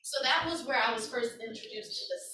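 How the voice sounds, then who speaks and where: A woman's voice: sits high, brisk, bright, forceful tone, a little hiss or hum. A woman in her thirties presenting a workshop and telling a personal story to an audience in an earnest, conversational way, often checking in with her listeners. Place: conference room with an audience. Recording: echoey room, distant mic.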